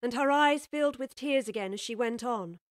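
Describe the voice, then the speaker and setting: Casting: female audiobook narrator, slightly high voice, scripted storytelling, dry studio-style sound. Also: moderate pace, no echo.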